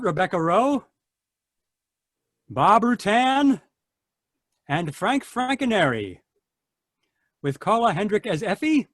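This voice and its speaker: A man reading scripted credits as an announcer in old-time radio style, with a husky voice. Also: sits high, dry recording, studio quality. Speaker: announcer, cast member.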